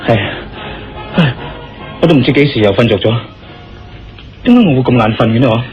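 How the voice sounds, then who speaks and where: A man's voice: medium pitch, lively intonation, fairly quick, close to an everyday voice. Dull-sounding Cantonese radio drama hero played by a man. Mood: weary, polite. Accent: Hong Kong Cantonese. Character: injured Chinese male adventurer, confident and persuasive. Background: music.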